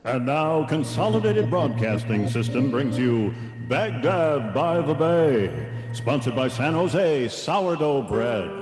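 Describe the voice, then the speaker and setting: Grand radio announcer over loud music. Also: male voice, medium pitch, animated, takes it slowly, hardly any pauses. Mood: dramatic, formal. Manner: dramatic, formal. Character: grand old-time radio drama announcer. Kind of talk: old-time radio announcing.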